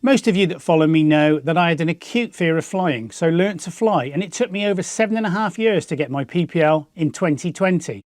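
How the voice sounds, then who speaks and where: A man's voice: fairly high pitch, lively intonation, a little fast, few pauses, darkish tone, no room sound, clean studio sound. A man with a British accent presenting a scripted explanatory piece as the host of a hobby channel. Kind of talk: scripted explanatory narration to viewers.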